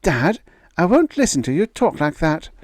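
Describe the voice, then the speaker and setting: A woman's voice: sits low, big pitch swings, moderate pace, dry recording, clean studio sound, voice pushed up. A man reading aloud, voicing a young woman who firmly stands up to her bigoted father. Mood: resolute. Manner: firm, quietly resolute.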